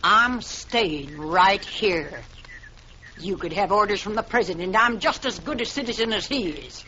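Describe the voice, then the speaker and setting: A woman's voice: mid-range voice, animated, unhurried, pushed and bright. An actress playing a defiant, middle-aged frontier widow who refuses to leave her land, in a 1950s radio drama. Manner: defiant, clipped, scornful. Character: stubborn widowed frontier homesteader woman. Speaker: landowner holding a rifle. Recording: dull old radio recording with faint hiss.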